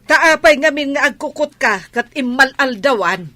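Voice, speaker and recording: A woman playing a nagging, bossy wife in a radio comedy. Her voice is highish and piercing, very dry and close, giving orders as she scolds. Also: animated, moderate pace, pushed and bright, dry recording. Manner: commanding, nagging. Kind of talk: Ilocano comedy radio drama acting.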